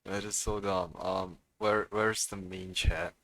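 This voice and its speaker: A man's voice: sits low, level tone, slow, a bit bright, no room sound. A man live-streaming a video game with casual, unscripted commentary, muttering to himself and swearing freely.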